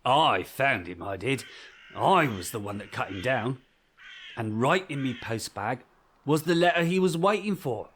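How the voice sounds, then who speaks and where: A man's voice: mid-range voice, lively intonation, measured pace, fairly bright tone, slight background noise. An actor playing a kindly, gossipy English village postman in a vintage radio drama, with a rustic, folksy turn of speech. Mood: mournful. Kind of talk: 1940s radio drama acting.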